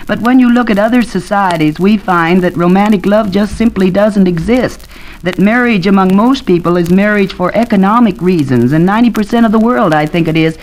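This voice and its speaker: A woman's voice: mid-range voice, a little fast, a little mellow, low-quality recording. An American woman speaking as an academic expert in a 1940s radio panel discussion, explaining points with examples.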